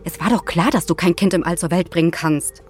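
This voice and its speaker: A woman's voice: on the low side, a little fast, darkish tone, faint music behind, natural voice. A woman playing an outspoken astronaut in an audio drama, blunt and argumentative, with a sarcastic edge. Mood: concerned, insistent. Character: outspoken, indignant female astronaut defending her crewmate. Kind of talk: naturalistic audio drama dialogue.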